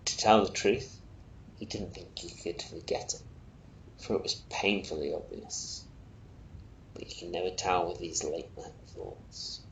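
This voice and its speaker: A man reading a short story aloud as narrator, with expressive delivery. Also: unhurried. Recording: roomy echo, faint noise, rumble.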